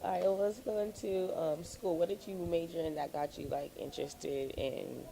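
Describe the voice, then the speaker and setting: A woman hosting a casual interview, playful and quick to laugh. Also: on the low side, steady tone, creaky, dark tone, a little hiss or hum, poor audio. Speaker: host.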